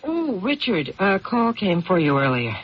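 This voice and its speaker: Lively, devoted wife, muffled radio. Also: female voice, medium pitch, unhurried, breathy edge. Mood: curious. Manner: affectionate, coaxing. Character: devoted, ambitious, attentive wife of a politician. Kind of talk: 1970s radio drama acting. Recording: dull, faintly noisy 1970s radio broadcast.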